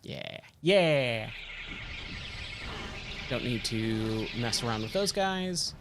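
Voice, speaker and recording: A man with a slightly hoarse, scratchy voice giving casual, rambling game commentary and thinking aloud as he plays. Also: medium pitch, animated, very slow. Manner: rambling, self-questioning. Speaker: game streamer, host of a let's play.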